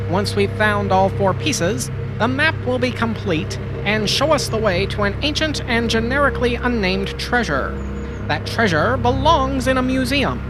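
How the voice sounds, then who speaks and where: A woman's voice: mid-range voice, natural voice. A woman playing an overconfident, oblivious treasure-hunting hero in a comedic children's audio drama, earnest and upbeat. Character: Chattanooga, cheerfully clueless, overconfident treasure-hunting adventurer hero.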